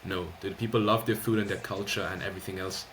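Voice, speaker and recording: A man's voice: low-pitched, little pitch movement, a bit bright, a little hiss or hum. A man hosting a podcast, talking earnestly in a casual discussion and often saying "you know.". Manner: quick, earnest, many fillers of "you know". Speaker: podcast host.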